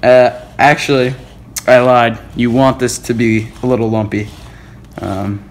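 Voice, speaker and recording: A man casually walking through making food in a home kitchen, relaxed and correcting himself as he goes. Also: medium pitch, unhurried. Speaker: home cook. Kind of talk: casual cooking demonstration. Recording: clear music behind the voice. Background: music.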